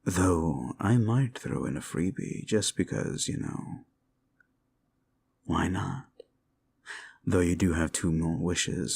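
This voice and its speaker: A man's voice: sits low, gravelly, darkish tone, breathy edge, heavily acted. A man voicing a sly, seductive demon who hungers for suffering, in an intimate, teasing and possessive ASMR roleplay murmur.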